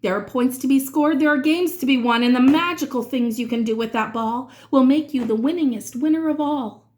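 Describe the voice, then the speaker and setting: A woman with a high-pitched voice reads a rhyming picture book aloud to children. Her delivery is expressive and dramatic, and she stretches out key words as she follows the verse. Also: a little fast. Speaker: children's read-aloud reader.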